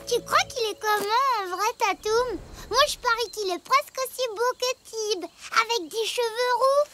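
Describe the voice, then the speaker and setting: A woman voicing a small, chatty, excitable child. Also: very high voice, normal speed, over music. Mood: dreamy. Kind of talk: cartoon dub acting.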